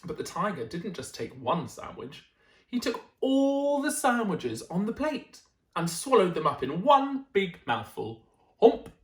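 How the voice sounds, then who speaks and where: A man's voice: high-pitched, huge pitch swings, measured pace, reverberant, poor audio. A man reading a children's picture book aloud as a storyteller, with dramatic emphasis and friendly asides to his listeners.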